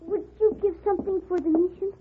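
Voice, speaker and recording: A small Mexican beggar boy in an old radio western. The voice is very high, pleading and sly, with a Spanish accent. It sounds narrow and muffled, like an old broadcast. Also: steady tone, fast. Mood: pleading, wheedling. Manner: pleading broken English. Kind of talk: 1950s radio western acting.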